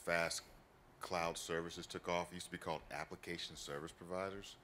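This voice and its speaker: A man on a conference panel explaining calmly. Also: low voice, a little fast, slight background noise. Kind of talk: panel discussion.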